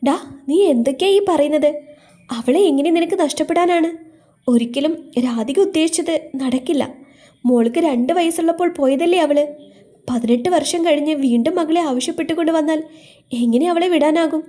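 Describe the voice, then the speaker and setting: Woman doing a steady middle-aged family friend. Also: sits high, normal speed, voice pushed up, natural voice. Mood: firm. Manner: firm, reassuring.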